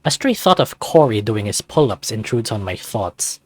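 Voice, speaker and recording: Guy reading a visual novel out loud, lowish voice, really lively, dry close sound, music under it. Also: normal speed, no echo. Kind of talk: animated narration of a story read aloud.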